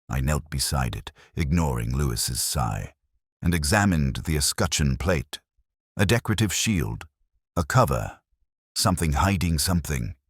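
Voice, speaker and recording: A man playing an introspective older police detective, narrating a mystery audio drama from his notebook in a measured, literary way. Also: very deep voice, dry recording, clean studio sound, full character voice. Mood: grave, contemplative. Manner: brooding, measured, literary delivery.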